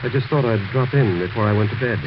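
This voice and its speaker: An actor playing a sober, skeptical doctor, reasoning and admonishing in 1940s radio drama style. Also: male voice, medium pitch, fast talker, close to an everyday voice. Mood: uneasy concern. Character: sober, skeptical male doctor, concerned family friend. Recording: noisy, muffled 1940s radio recording.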